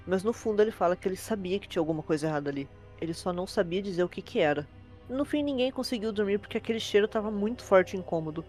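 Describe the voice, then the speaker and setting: A Brazilian woman narrates a scary tale at a brisk clip. Her pitch sits mid-range and her wording is casual and colloquial. Quiet suspense music runs underneath. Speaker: narrator, host. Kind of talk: horror storytelling narration in casual colloquial language. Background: suspense background music.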